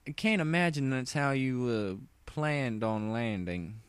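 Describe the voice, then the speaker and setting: A man's voice: medium pitch, measured pace, clean tone, no echo, pitched above the performer's own voice, lightly acted. A man voicing a folksy female country pony with a polite, backcountry manner in a story reading. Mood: polite, uneasy.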